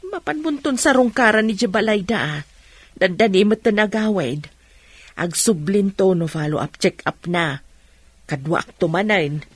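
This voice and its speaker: A woman playing a patient, forgiving village mother in an Ilocano radio drama, with a gentle, consoling delivery. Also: mid-range voice, expressive, moderate pace, slightly rough, dry recording.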